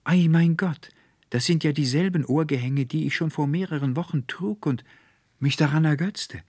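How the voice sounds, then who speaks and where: A man's voice: on the high side, normal speed, a little mellow, no room sound, clean studio sound, voice pushed up. A man voicing an earnest, resolute young woman in an audiobook, declaiming emphatically in formal, old-fashioned phrases. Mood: delighted surprise. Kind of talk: literary audiobook character dialogue.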